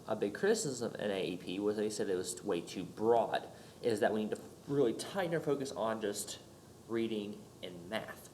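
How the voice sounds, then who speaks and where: A man's voice: mid-range voice, darkish tone, slight background noise, rough recording. A young man with a stuffed-up cold giving a casual recorded class presentation, rambling with many fillers and restarts. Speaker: student presenter.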